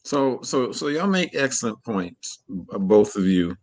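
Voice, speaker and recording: A man in his forties addressing a young audience, measured and often repeating words as he searches for them. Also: on the low side, big pitch swings. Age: 40s. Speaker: community elder speaker. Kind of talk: public address.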